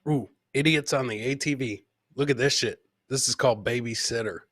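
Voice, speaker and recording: A man's voice: medium pitch, fairly quick, fairly bright tone, dry recording, studio quality. A man hosting a casual live comedy show, chatting and reacting to clips, repeating himself and swearing freely. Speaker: podcast host.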